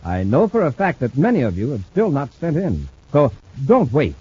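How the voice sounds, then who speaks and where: A man's voice: mid-range voice, huge pitch swings, moderate pace, warm tone, breathy edge, exaggerated voice. An actor playing a brave male space commander, speaking in earnest, clipped 1950s radio drama style. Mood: urgent pitch. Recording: muffled old radio transcription.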